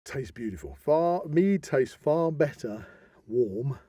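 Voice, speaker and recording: A middle-aged British man co-hosting a podcast, chatting casually like an animated storyteller handing out practical advice. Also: medium pitch, takes it slowly, husky, no room sound. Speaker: podcast co-host.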